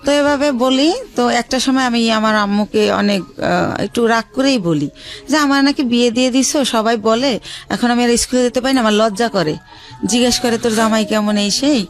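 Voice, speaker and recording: A woman from Bangladesh telling her life story as a radio show guest, hesitant, with many pauses and fillers. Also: on the high side, faint music behind.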